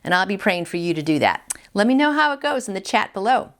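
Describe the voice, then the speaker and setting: A woman's voice: mid-range voice, sing-song. A woman hosting a morning devotional, reading a passage and adding chatty asides in her own words. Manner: warm, chatty asides, slows for scripture. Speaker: devotional host. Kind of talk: reading a daily devotional with casual commentary.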